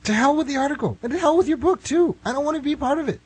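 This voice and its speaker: A man's voice: very high voice, rapid-fire, no echo. A man playing a flustered, exasperated writer who stammers and protests in a comic radio play. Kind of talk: radio play comedy acting.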